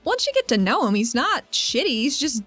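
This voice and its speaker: A woman's voice: high voice, sing-song, normal speed, fairly bright tone, dry recording, soft background music, exaggerated voice. A woman playing a brash, casual, wry young female fighter in a tabletop roleplaying game. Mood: wry, persuasive.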